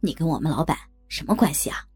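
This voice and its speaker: A woman voicing a seasoned, wary man with a terse, low-key, questioning manner. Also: lively intonation, fast talker, a little mellow, slightly rough, dry recording, faint music behind, full character voice. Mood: wary, probing.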